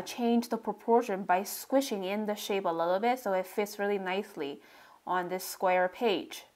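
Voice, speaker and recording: A woman narrating a relaxed drawing tutorial step by step, describing what she does as she draws. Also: medium pitch. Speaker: sketching tutorial host.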